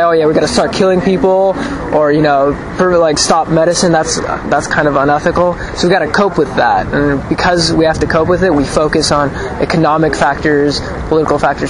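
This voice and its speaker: Young man rambling over street noise. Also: on the high side. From Ukraine, raised in Los Angeles. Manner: loose and rambling, many fillers and restarts. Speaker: student interviewee. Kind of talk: street interview, casual opinions. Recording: noisy outdoor street recording.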